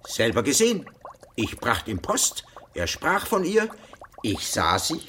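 A man's voice: mid-range voice, huge pitch swings, measured pace, pushed and bright, full character voice. An injured, befuddled postman in a radio drama. He is stubborn and plaintive, with terse lines and a very mobile intonation over faint noise. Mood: stubborn, grave. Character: injured, dazed, stubborn male postman, protesting. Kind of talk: German radio drama acting.

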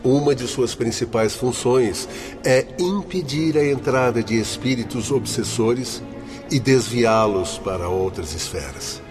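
A man plays a courteous doctor escorting patients in a radio drama. He speaks formally in a mid-pitched voice, with faint music behind. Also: unhurried, natural voice. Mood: authoritative. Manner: formal, orderly. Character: courteous father doctor escorting sick spirits.

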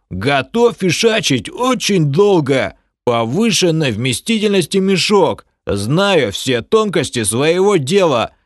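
A man putting on a loud boastful half-orc porter. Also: a little high, dry recording, studio quality, voice pushed up, full character voice. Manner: shouty self-advertising drawl.

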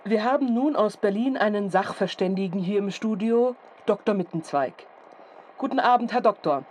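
An actor playing a composed radio news presenter, speaking in a formal, measured and articulate way. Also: medium pitch, expressive, a little hiss or hum, lightly acted. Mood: matter-of-fact. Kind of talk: formal scripted broadcast news reading.

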